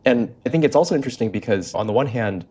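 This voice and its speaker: A man's voice: mid-range voice, expressive, quick pace, mellow, slightly rough. A man hosting an interview podcast, thinking aloud as he frames his questions in an informal, conversational way.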